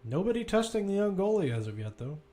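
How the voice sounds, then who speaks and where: A man's voice: high voice, sing-song, quick pace, dark tone. A man giving live football play-by-play commentary, describing the action as it runs, with asides and self-corrections.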